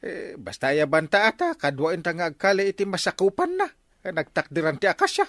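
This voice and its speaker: A man with an Ilocano accent playing an excitable, scheming village captain in a Filipino comedy radio drama. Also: high voice, a little fast, no room sound, studio quality. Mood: eager. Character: excitable scheming male village captain chasing buried riches.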